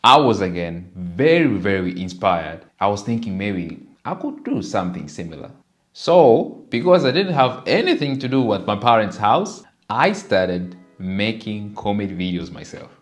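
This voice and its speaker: A man's voice: fairly low pitch, sing-song, normal speed. Young Tanzanian man telling his story. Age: 20s. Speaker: content creator. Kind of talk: personal storytelling to camera.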